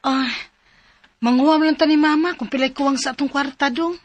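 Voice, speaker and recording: Female actor for determined wife with business plans. High voice, Cebuano accent, radio drama delivery. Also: fairly even intonation, a little fast, natural voice. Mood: persuasive. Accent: Cebuano Filipino.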